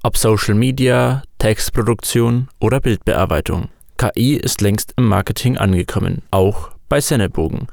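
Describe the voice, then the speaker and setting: Gravelly low-pitched male narrator, dry studio sound. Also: moderate pace, few pauses, darkish tone, no echo. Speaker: narrator of a company report. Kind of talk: scripted narration.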